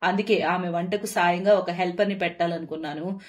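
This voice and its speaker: A woman voicing a middle-aged mother-in-law, doting yet firm, with a measured, motherly manner, in a story. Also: lowish voice, fairly even intonation, voice pushed down, natural voice. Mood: caring.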